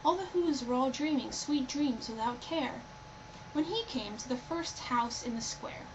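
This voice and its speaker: A woman reading a rhyming storybook aloud with expressive narration. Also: high voice, a little slow. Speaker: storybook reader. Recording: dull, lo-fi, loud background noise.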